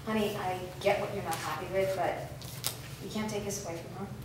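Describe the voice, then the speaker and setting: A woman playing a gentle, conciliatory mother of a teenager in a staged screenplay reading. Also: medium pitch, steady tone, a little fast, close to an everyday voice. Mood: coaxing. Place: live reading in a reverberant room. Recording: echoey noisy room, distant microphone.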